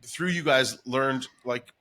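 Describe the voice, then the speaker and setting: A man's voice: medium pitch, takes it slowly, bright, forceful tone, a little hiss or hum. A middle-aged man talking casually and enthusiastically as a guest in an interview, reminiscing in a chatty way. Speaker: radio morning show comedian, guest.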